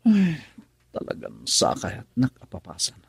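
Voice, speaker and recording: A troubled married son in a radio drama. His Ilocano-accented voice is gravelly and husky, with lively melodic swings. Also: on the low side, moderate pace, exaggerated voice.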